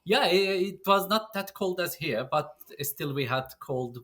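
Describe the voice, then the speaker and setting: A middle-aged man from Iran, a Turkish speaker, answering interview questions in halting English with many repeated "yeah"s. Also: on the high side, expressive, a bit bright. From Tabriz, northwestern Iran. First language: Azeri Turkish. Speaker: guest.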